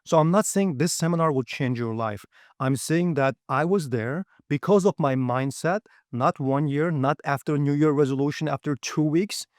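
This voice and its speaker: A man's voice: a little high, normal speed, darkish tone, no room sound, clean studio sound. Straight-talking man. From Uyghur region. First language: Uyghur. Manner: direct, frequent "okay?" and "right?" checks, non-native grammar. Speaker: seminar presenter. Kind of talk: motivational talk.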